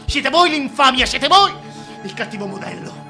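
A man's voice: lively intonation, normal speed, soft background music, heavily acted. An actor playing a vain, self-pitying tyrant king in a theatrical, declamatory radio drama monologue, volatile and contemptuous. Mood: contemptuous, ranting. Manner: declamatory, volatile, contemptuous, swinging from hushed to shrill.

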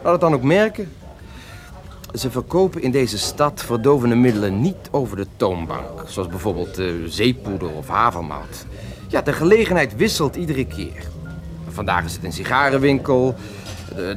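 An actor in a radio play playing a cocky, lovesick young beatnik poet who smokes marijuana, with a theatrical, mocking drawl. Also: male voice, medium pitch, animated, normal speed, music in the background, natural voice. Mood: dismissive. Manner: theatrical, mocking, languid drawl with sudden outbursts.

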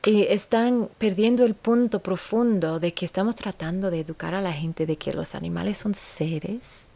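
A woman's voice: medium pitch, normal speed, creaky, warm tone. A woman hosting a solo podcast in Spanish, which is not her first language, talking earnestly and often restarting as she searches for words. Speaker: podcast host.

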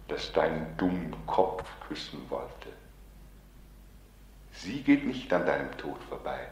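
An echoing male voice of hope in a 1940s radio play. It is lowish and slow, swinging widely in pitch as it solemnly urges and consoles. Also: full character voice. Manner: solemn, urging. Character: insistent, consoling male voice of optimism. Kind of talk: 1940s radio drama acting.

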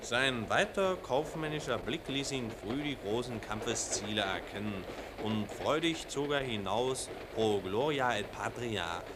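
Man playing a pompous salesman, animated delivery. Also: mid-range voice, unhurried, hardly any pauses, natural voice. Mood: solemn pomp. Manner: pompous, hearty sales patter. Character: brash, pompous patriotic food-products salesman. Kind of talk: 1940s radio play acting. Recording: noisy old 1940s radio recording.

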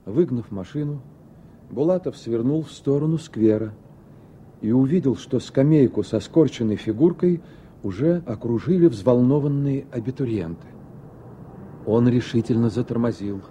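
A man reading scripted literary narration for a radio play, measured and with deliberate pauses. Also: medium pitch, dark tone, a little hiss or hum. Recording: dull old radio recording, faint music. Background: faint music.

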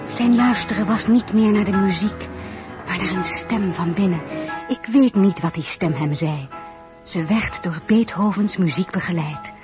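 A woman's voice: mid-range voice, unhurried, close to an everyday voice. Reflective young wife, played by a woman, faint music behind. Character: reflective young wife and mother, narrating her life. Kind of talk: radio drama first-person narration.

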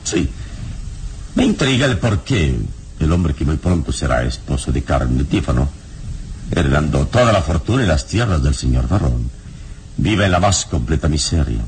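A very deep-voiced adventurer hero from a radio serial, calm and courteous. His suave, measured lines carry gentle irony and move in lively, swooping inflections. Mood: courteous, probing. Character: calm, courteous, all-knowing adult male adventurer hero. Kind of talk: Mexican radio serial acting.

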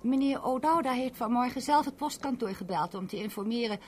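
A fairly high female voice on an old, faintly noisy radio recording, played by an actress. She is a polite, helpful clerk who explains things courteously with small hesitations. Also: expressive, a little fast, natural voice. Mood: helpful, explanatory. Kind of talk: 1970s Dutch radio drama acting.

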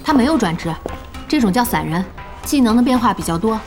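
An actress playing a brash, excitable, sharp-tongued young woman boss in an audio drama. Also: a little high, brisk, faint music behind. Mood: explaining, puzzled. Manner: animated exclaiming, teasing, blunt.

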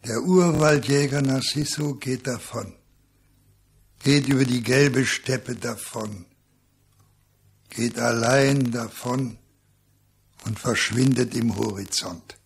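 An actor as the narrator of a literary radio play, solemn and deliberate in his storytelling. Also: medium pitch, gravelly, slightly rough, heavily acted. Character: grave male storyteller of a radio novella.

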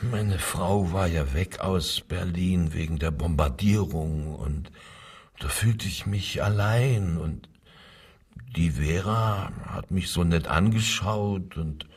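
An actor playing an evasive, servile official who pleads and justifies himself under interrogation in a radio crime drama. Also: male voice, sits low, slow pace, slightly rough, recorded from a distance, heavily acted. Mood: uneasy, evasive.

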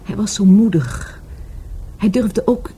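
A soft, grieving, very mellow woman. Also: medium pitch, expressive, breathy edge, heavily acted. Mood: wistful, earnest. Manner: hesitant, halting, softly searching for words. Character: grieving, wistful lover of a murdered market trader. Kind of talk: Dutch radio play acting.

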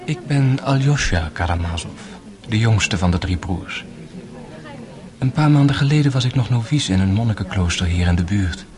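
An actor playing an earnest, sorrowful young Russian former novice monk, narrating a radio drama in a solemn, measured way. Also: male voice, fairly low pitch, expressive, crowd noise, natural voice.

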